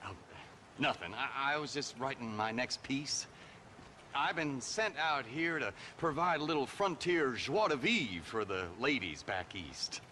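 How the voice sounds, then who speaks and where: A man playing an excitable, chattering magazine writer in a Western video game, gushing, wordy and pleased with his own wit. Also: mid-range voice, measured pace, a little hiss or hum. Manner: gushing, wordy, self-amused, repeats himself. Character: excitable, chattering male East Coast magazine writer out West. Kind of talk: theatrical video game acting.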